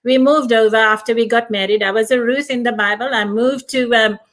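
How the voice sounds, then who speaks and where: A woman's voice: a little high, steady tone, fairly bright tone. Reflective woman from Fiji. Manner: reflective, halting, many restarts and fillers. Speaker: guest. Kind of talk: casual conversation.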